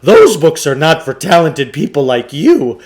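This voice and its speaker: Guy doing a storybook huckster shopkeeper, pompous sales patter, flattering, high voice swooping all over. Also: measured pace. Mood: emphatic, flattering. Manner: theatrical salesman patter with hesitant asides. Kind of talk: storybook character voice.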